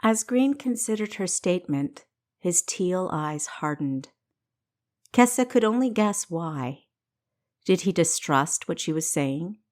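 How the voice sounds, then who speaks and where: Low-voiced, slow-paced woman narrator. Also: animated, darkish tone. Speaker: author reading own audiobook. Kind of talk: scripted fiction narration.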